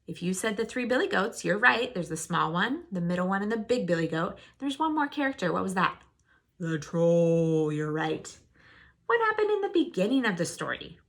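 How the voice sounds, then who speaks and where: Expressive woman reading to young children. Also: medium pitch. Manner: expressive, asks listeners questions and answers them. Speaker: teacher. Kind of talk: children's storybook read-aloud and lesson.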